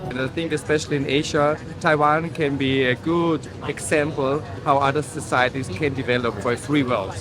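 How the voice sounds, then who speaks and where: A man's voice: medium pitch, steady tone, normal speed, few pauses. A man from Austria, speaking earnestly outdoors at a city parade. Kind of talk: street vox pop. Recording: clear music behind.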